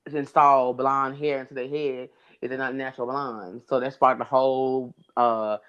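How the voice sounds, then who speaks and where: A man with a high voice, co-hosting a podcast and telling a long story in casual chat, with many restarts. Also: little pitch movement, normal speed, a bit bright, smooth, muffled recording. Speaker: podcast co-host.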